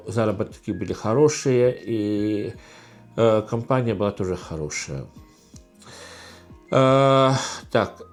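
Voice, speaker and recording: A middle-aged man from Estonia with an Estonian accent, talking casually in a question-and-answer vlog, halting with many fillers and restarts. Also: fairly low pitch, a little mellow. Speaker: channel host.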